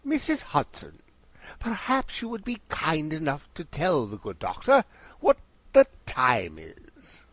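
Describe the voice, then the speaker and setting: A man's voice: a little high, huge pitch swings, slow pace, no echo, heavily acted. A man playing a cheerfully condescending Victorian detective in an audio drama, with a grand, formal, theatrical delivery. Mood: jovial, teasing.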